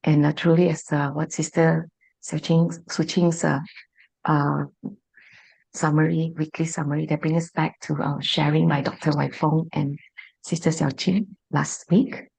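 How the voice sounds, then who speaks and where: Hoarse low woman moderating politely. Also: little pitch movement, a little mellow, rough recording. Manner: polite, halting, many fillers. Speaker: moderator introducing speakers. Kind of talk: online meeting hosting. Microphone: video call.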